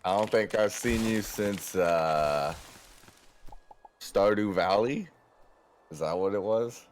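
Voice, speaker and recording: A man's voice: lowish voice, slow pace. A man joking around in casual voice chat, sometimes drawling out his phrases. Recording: clear background noise, clicks.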